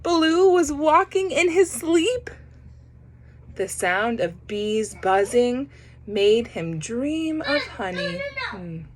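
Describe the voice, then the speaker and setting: A woman reading a children's picture book aloud, pausing to comment on the pictures. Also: on the high side, sing-song, slight background noise. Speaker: storybook reader.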